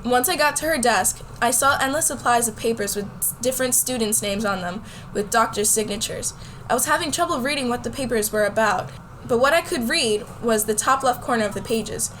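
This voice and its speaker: High-voiced high school girl narrator, voiced by a woman. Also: a bit bright, slight background noise. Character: bold, competitive high school girl telling her story. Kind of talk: scripted first-person radio drama narration.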